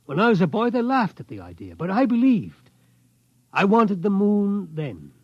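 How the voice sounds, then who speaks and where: An actor playing an obsessed, determined old tycoon in a 1950s radio drama, reminiscing and insistent. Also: male voice, sits high, huge pitch swings, moderate pace, no room sound, full character voice. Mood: wistful, defiant. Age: older. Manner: reminiscing, insistent, tags sentences with "hmm?". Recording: dull 1950s radio recording.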